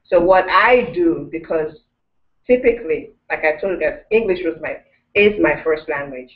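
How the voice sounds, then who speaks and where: A man leads a language class and explains things step by step, often restarting his sentences. His fairly high voice comes from some way off the mic. The room echoes and the sound is thin and tinny, as on a phone line. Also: lively intonation, normal speed, distant mic. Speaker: language teacher.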